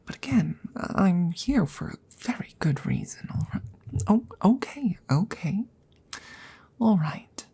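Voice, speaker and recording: A woman voicing a polite, earnest, slightly awkward female dragon in an intimate ASMR roleplay, speaking softly and formally with hesitant stammers and frequent whispering. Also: fairly low pitch, sing-song, slow pace, exaggerated voice. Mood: apologetic, coaxing. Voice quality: breathy, soft, often slipping into whisper.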